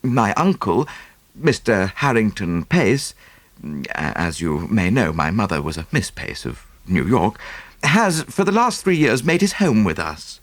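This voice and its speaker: Man playing English gentleman, self-deprecating, hesitant, animated pitch, close dry sound, audiobook. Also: a little slow, no echo. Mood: explaining. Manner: courteous, hesitant, explanatory. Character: well-bred English gentleman, anxious, self-deprecating, recounting family troubles. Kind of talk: audiobook character acting.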